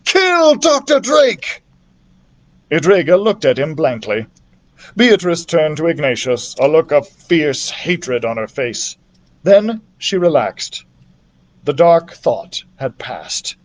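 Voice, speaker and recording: A male storyteller reads a children's book aloud. His voice is fairly high for a man and very animated, rising and falling expressively through the narration. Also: takes it slowly. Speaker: library storyteller.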